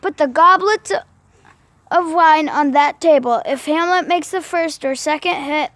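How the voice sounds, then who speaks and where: A woman playing a commanding king in an amateur home video, reciting haltingly and stumbling over words. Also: high-pitched, a bit bright, dry recording. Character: male king, scheming and commanding.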